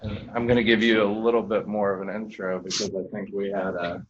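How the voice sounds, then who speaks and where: A man hosting an arts and healthcare event, introducing his guests in a relaxed, conversational way. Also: lowish voice, brisk. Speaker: host of an arts and healthcare program. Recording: dull muffled sound with faint noise.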